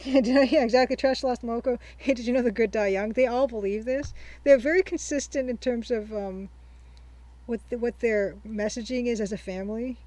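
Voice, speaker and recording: A young woman hosting a game playthrough, her voice sitting a little high as she chats casually. She thinks aloud and reads her notes back slowly. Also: warm tone, dry recording. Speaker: let's-play host. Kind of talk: casual let's-play commentary.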